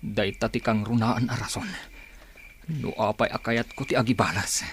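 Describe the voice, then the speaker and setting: A man's voice: fairly low pitch, steady tone, moderate pace, a bit bright, a little hiss or hum, lightly acted. Aggrieved, vengeful young man played by an actor. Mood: bitter, anguished. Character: aggrieved young man bent on avenging his family. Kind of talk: Filipino radio drama acting.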